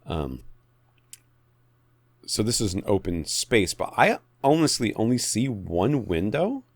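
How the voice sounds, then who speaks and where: A man with a low voice that moves around a lot in pitch co-hosts a podcast. His delivery is informal and joking, like casual chat over listener questions. The sound is close and dry. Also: sing-song, slow pace, dry recording. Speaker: podcast co-host.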